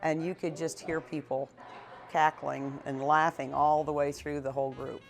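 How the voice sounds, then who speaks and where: A woman's voice: sits low, flat delivery, normal speed, vocal fry, soft background music. A woman cheerfully describing her radio theater group in an interview.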